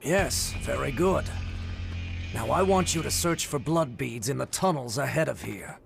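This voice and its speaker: Smug, gravelly gang overseer. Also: male voice, mid-range voice, lively intonation, unhurried. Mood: smug, instructing. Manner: condescending, mock-courteous. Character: smug, menacing male gang overseer, mock-polite. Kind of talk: video game cutscene acting. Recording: dramatic music behind the voice.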